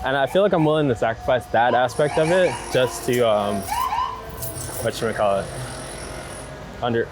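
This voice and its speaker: A young man in casual, slangy conversation, speaking in halting phrases with lots of filler words. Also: medium pitch, expressive. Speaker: street photographer being interviewed. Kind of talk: casual chat on the street. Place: outdoors on busy city streets. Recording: loud city traffic noise outdoors. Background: loud urban ambience and passing vehicles.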